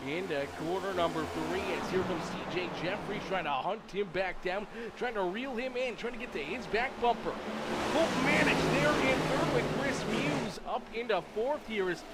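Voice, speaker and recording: A man with a nasal, sick-sounding voice doing energetic play-by-play commentary and hosting a racing livestream. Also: sits high, moderate pace, heavy background noise. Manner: energetic hype announcer, rattling off names. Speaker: race commentator and stream host.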